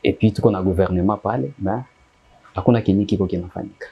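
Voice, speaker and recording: A man from eastern Congo with a Congolese accent, answering interview questions in a measured way and repeating phrases for emphasis. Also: low-pitched, gravelly, slightly rough, dull audio. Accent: Congolese, mixing Swahili and French. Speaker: guest, activist.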